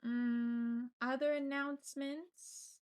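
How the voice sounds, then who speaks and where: A woman's voice: high voice, steady tone, takes it slowly, clean tone. A woman game streamer talking casually to her chat, rambling with frequent ums and the odd swear word. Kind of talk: casual live-stream chat while playing.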